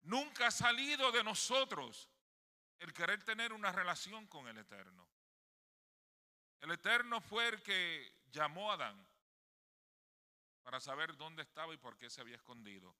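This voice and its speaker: A man's voice: high voice, lively intonation, normal speed, long pauses, sharp tone. A man with a Puerto Rican accent preaches a sermon emphatically, often checking for agreement from his congregation. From Puerto Rico. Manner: emphatic, often seeks agreement with tag questions. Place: indoors in a sanctuary. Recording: long hall reverb.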